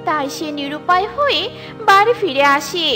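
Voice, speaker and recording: A woman narrating a cartoon story in a lively storytelling way. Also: very high-pitched, normal speed. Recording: with light background music.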